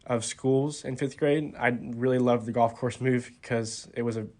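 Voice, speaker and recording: Soft-spoken young man, flat even delivery. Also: medium pitch, moderate pace. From Texas. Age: 20s. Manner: soft-spoken, many ums and restarts. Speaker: college golfer, podcast guest. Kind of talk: casual interview talk.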